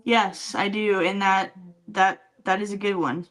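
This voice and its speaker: A woman's voice: mid-range voice, fairly even intonation, unhurried, fairly bright tone. A woman podcast co-host chatting casually and telling comic stories with teasing, dry sarcasm. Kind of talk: casual comedic chat and storytelling.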